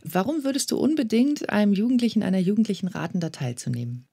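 Woman interviewer, gravelly low voice, mellow tone, measured questions. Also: big pitch swings, no echo, clean studio sound. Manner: measured, well-prepared questioning.

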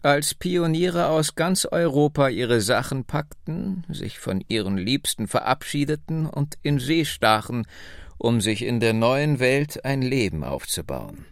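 A man reading the lines of a wry, nearly hundred-year-old woman telling her family's story, slowly and slyly. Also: medium pitch, lively intonation, vocal fry, no echo, clean studio sound. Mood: reminiscing. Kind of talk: radio book reading.

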